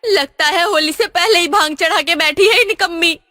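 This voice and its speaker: A woman's voice: normal speed, sharp tone, no echo, studio quality, soft background music. A woman voicing an angry, scolding middle-aged auntie in a cartoon story. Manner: shrill scolding, harsh.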